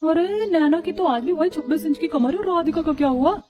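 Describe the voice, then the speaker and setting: A woman's voice: extremely high pitch, fairly quick, dull audio. A woman playing a gossipy, teasing neighbourhood aunt in a cartoon.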